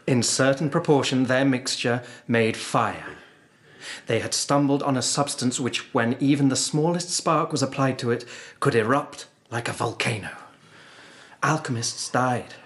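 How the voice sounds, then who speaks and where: A man's voice: mid-range voice, fairly bright tone, lightly acted. A man playing an earnest young nobleman informant. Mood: grave. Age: young adult. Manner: formal, grave, storytelling. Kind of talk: radio drama acting.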